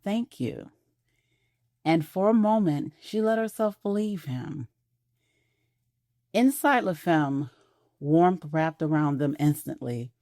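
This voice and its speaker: A woman's voice: low-pitched, slow pace, mellow, no echo, clean studio sound. A woman reading scripted narration for a romantic audio drama.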